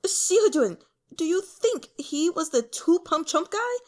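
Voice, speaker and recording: A woman plays a naive, easily flustered young man in an audiobook. His voice sits very high and swoops around as he stammers and complains with melodramatic indignation. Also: sing-song, a little slow, a little mellow, exaggerated voice. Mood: puzzled, plaintive. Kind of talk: audiobook character voice.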